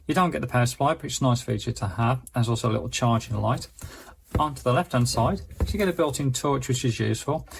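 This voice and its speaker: A man with a creaky, gravelly voice gives a casual, explanatory product review. His pitch sits in an ordinary middle range, and faint background noise runs under his chatty demonstration. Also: fast talker.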